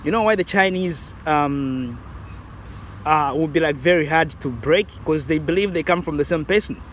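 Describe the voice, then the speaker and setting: A man in his late thirties with a fairly high-pitched voice. He muses in a loose, reflective way, circling back and restarting his thoughts. Faint traffic hums behind him outdoors. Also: moderate pace, a bit bright. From Zambia. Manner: reflective, rambling, many restarts. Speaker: vlogger. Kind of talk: casual vlog commentary.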